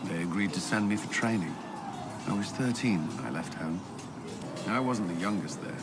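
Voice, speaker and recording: An actor playing an earnest, reserved military commander and former templar knight in a video game, speaking in a formal, measured way. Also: male voice, sits low. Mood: reflective. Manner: formal, measured, awkwardly hesitant when flustered. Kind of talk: video game character dialogue. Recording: loud music behind the voice. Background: music.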